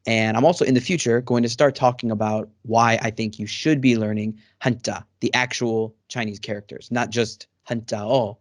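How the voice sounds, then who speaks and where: A man's voice: medium pitch, fairly even intonation, moderate pace, few pauses, no echo, clean studio sound. A man hosting a casual interview over a video call, friendly and rambling with many fillers. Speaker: host. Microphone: studio microphone.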